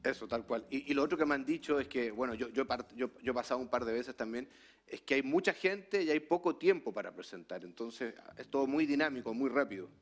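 A man hosts a casual Q&A in a relaxed, friendly way. His voice sits at a middle pitch. Faint music plays in the background. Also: fairly quick. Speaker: event host. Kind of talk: casual moderation.

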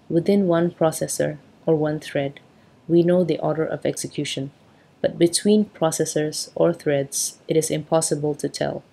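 A young woman reading a technical textbook aloud as a sleep aid, in a calm, even, measured way, through a wired earphone mic in a small bedroom. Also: low voice, vocal fry, breathy edge, low-quality recording. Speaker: reader. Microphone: wired earphone mic at a laptop.

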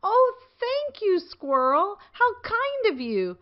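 A woman's voice: extremely high pitch, very animated, slow, highs cut off, voice pushed up, full character voice. A woman voicing an eager, worried male rabbit in a storybook, in an exclamatory, pleading way. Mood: grateful.

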